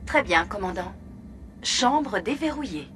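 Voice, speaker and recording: An actress playing a calm, impersonal female virtual intelligence making formal facility announcements in a video game. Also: mid-range voice, measured pace, background noise, lightly acted. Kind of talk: automated system announcement.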